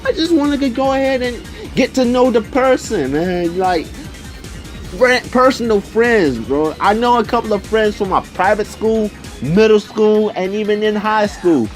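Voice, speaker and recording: A young man telling a personal story casually, in an animated, slangy way, acting out the conversations as he goes. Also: high-pitched, unhurried, soft background music. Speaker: video storyteller.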